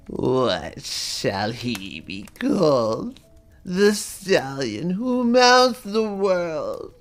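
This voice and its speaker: Slow, chanting ancient crone. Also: male voice, a little high, a bit bright, voice pushed up, exaggerated voice. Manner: very drawn-out, incantatory. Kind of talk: dramatic storybook character reading.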